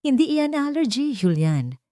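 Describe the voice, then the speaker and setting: A woman voicing a defiant, authoritative older aunt in an audiobook melodrama, speaking firmly and forcefully. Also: sits high, very animated, measured pace, no room sound, clean studio sound, voice pushed up. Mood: accusing. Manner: firm, forceful.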